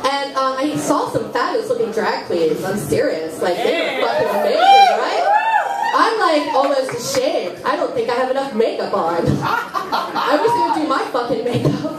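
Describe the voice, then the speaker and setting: High-pitched brash goth puppet played by a woman. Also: sing-song, moderate pace. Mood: bratty, sarcastic. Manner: profane, bratty, taunting. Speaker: puppeteer performing comedy. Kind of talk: live stand-up puppet comedy. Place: small indoor club stage, far camera. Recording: roomy, distant mic in noisy crowd.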